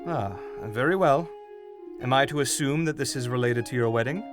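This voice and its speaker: A man playing a polite, evasive angel in an audio drama, speaking formally but haltingly. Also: medium pitch, over music, lightly acted. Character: polite, awkward, evasive bespectacled male angel.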